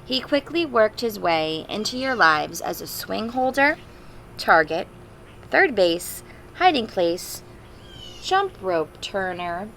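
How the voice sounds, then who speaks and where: A woman's voice: mid-range voice, big pitch swings, takes it slowly, vocal fry, a bit bright, a little hiss or hum. A woman reading a storybook aloud to young children, expressively, with simple chatty asides and questions. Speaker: teacher reading a picture book aloud to children.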